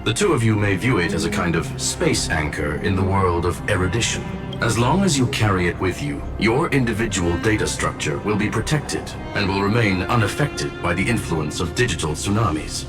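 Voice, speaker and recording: A learned, composed game character with a lowish, very expressive voice. He explains danger in formal, exact phrasing while music plays loudly beneath him. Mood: formal, instructive. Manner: formal, precise, measured phrasing. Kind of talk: scripted game dialogue. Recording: prominent music under the voice. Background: loud background music.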